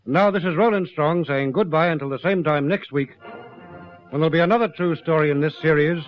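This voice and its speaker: Grave, higher-pitched male radio narrator. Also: faint music behind. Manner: grave, measured, emphatic. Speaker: narrator of a police drama series. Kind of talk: formal scripted 1950s-style radio narration. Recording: dry, muffled vintage radio recording.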